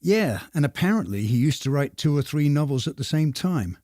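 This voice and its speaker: A man speaking as a podcast co-host in a measured, deadpan and slightly formal conversational manner. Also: fairly high pitch, no room sound, studio quality.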